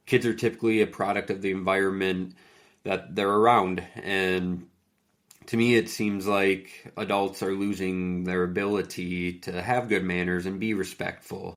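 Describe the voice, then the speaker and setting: A young man talks through a solo podcast episode in a casual, earnest way, leaning on "like" as he goes. His voice is deep and gravelly, with little rise and fall. He is still getting over a cold. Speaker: podcast host and fitness coach.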